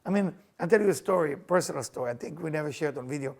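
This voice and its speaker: A middle-aged man with an Israeli accent, hosting a casual chat and telling personal stories in a playful, animated way, often laughing as he talks. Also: a little high, very fast, creaky. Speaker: spiritual teacher and podcast host.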